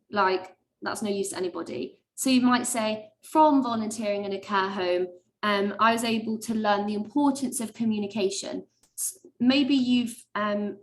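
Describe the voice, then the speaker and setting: A young woman, a medical student, giving chatty webinar advice with lots of ums and likes and a high-pitched voice. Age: young adult. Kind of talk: webinar talk.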